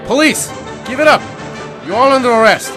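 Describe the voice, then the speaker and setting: A man playing an excitable, blunt police officer, the detective's pal, in an old-time radio drama. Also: very high-pitched, a little fast, over music. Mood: alarmed.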